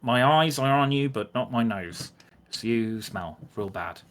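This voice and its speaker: A man's voice: medium pitch, animated, unhurried, natural voice. Man playing a blunt, suspicious town guard. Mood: exasperated.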